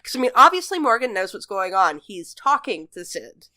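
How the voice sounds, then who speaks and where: A woman's voice: medium pitch, very animated, normal speed, sharp tone, no room sound, clean studio sound. A woman co-hosting a podcast, thinking aloud in casual, musing chat. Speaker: podcast co-host. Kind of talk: casual chat, TV episode discussion.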